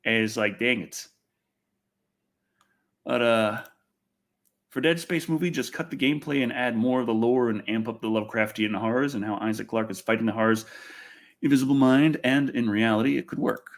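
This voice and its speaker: A man with a medium-pitched voice hosts a casual livestream. He rambles through his thoughts in a halting way, doubling back on phrases. His wording is blunt and informal. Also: steady tone. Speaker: podcast host. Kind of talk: casual livestream chat on film news.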